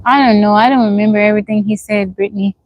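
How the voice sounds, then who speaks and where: A woman's voice: medium pitch, steady tone, fast, no echo, muffled recording. A woman in her forties hosting a casual livestream from the woods, reading viewer comments and answering frankly. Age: 40s. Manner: frank, questioning, repeats herself. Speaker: livestream host. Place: outdoors in the woods.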